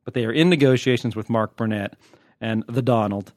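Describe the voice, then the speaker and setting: American male podcast host, mid-pitched, wry and casual critic's banter, dry studio-close sound. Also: brisk, darkish tone, no echo. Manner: wry, joking.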